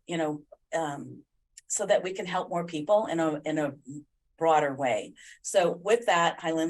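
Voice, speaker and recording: A woman hosting a podcast interview, talking in a loose, rambling way full of fillers. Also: on the low side. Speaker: podcast host. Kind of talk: conversational interview.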